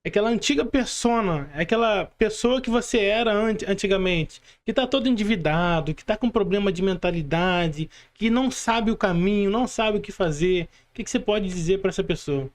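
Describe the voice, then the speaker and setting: A Brazilian man with a notably high-pitched voice hosts a podcast. His delivery is informal and casual, and he rephrases and repeats for emphasis. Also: a little fast, few pauses. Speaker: podcast host. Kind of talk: casual interview hosting.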